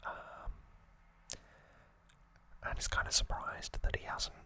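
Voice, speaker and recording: An ASMR host speaking in a breathy whisper, giving a soft, unhurried, casual recap with conversational asides.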